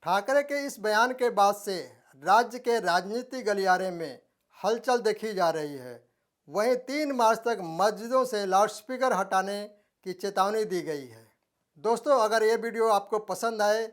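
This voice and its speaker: A news presenter delivers a scripted report at a middling pitch. The reading is formal and measured, moving through the copy phrase by phrase.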